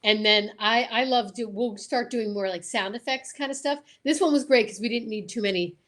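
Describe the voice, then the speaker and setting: A woman's voice: fairly high pitch, normal speed, a bit bright. A woman hosting a show in casual livestream chat, talking in an enthusiastic, gushing way. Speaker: show host.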